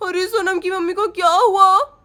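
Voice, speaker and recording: A woman's voice: very high voice, fairly even intonation, moderate pace, sharp tone. A woman voicing a worried middle-aged father who keeps reassuring his hurt wife, soothing and urgent.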